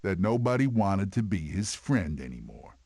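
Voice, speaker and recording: Deep, lively cartoon beast. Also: male voice, normal speed, slight background noise. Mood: storytelling. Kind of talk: animated film voice clips.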